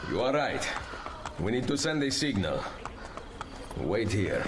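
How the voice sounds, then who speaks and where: An actor playing a defiant Renaissance assassin in a video game, with a firm, declarative manner. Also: male voice, on the low side, sing-song, unhurried, very noisy. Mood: resolute. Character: resolute, defiant Renaissance Italian assassin hero. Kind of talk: video game cutscene acting. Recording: game audio under loud music.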